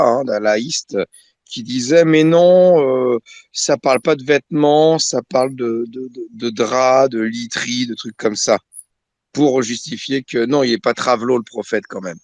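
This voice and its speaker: A man's voice: medium pitch, no echo. Ironic man with dry sound. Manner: ironic, self-mocking asides. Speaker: participant. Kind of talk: casual live audio-room discussion.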